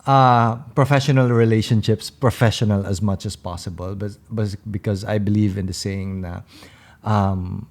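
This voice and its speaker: A young man with a Filipino accent, talking in a deep, big voice that sits on the low side. He speaks directly and with authority in a casual podcast chat, switching between English and Tagalog. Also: fairly even intonation, moderate pace, darkish tone. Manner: commanding, direct, gestures a lot. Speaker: podcast co-host.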